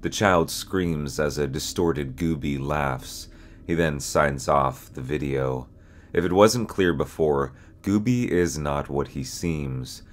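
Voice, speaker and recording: A young man reading scripted narration as host, in a measured, serious, ominous storyteller manner. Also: very deep voice. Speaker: host and narrator of a horror explainer channel.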